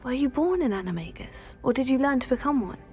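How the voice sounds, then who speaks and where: Game heroine: young witch, curious, polite and measured, slightly high voice over music. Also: lively intonation, darkish tone. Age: young adult. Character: level-headed, curious teenage witch heroine. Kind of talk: scripted video game dialogue. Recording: music under the voice.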